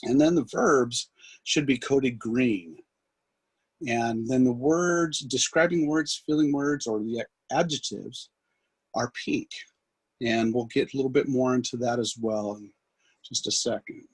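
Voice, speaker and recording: A man giving an instructional software demonstration as a trainer, explaining in a halting way. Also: mid-range voice, slightly rough, no echo, rough recording. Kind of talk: instructional screen demonstration, loosely scripted.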